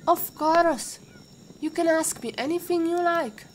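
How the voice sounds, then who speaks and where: An actress playing a patient, caring warrior mentor with a Russian accent and formal, instructive phrasing, in an audio drama. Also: female voice, very high-pitched, a little slow, noisy background. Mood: gentle, reflective. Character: patient female warrior mentor, former Interpol agent, caring teacher.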